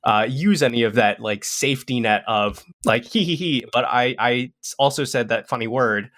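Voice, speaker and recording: A man in his thirties, a podcast guest, talking casually with halting restarts, self-deprecating humor and frequent laughs. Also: mid-range voice, fairly bright tone. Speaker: podcast guest, content creator. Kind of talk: casual chat.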